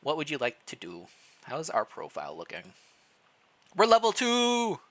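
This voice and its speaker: A man's voice: on the high side, wildly swooping pitch, normal speed, fairly bright tone. A man narrating fan fiction expressively as a streamer, mixed with casual chat. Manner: stumbles over words while reading aloud, self-deprecating.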